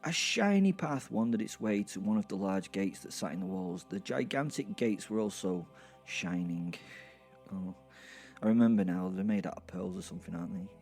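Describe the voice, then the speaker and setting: A man's voice: low voice, expressive, moderate pace, creaky, a little mellow, soft background music. A man playing an irreverent, foul-mouthed werewolf who narrates an audio drama with hesitant, rambling, wry asides. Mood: exasperated, wry. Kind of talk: first-person horror comedy audio drama narration.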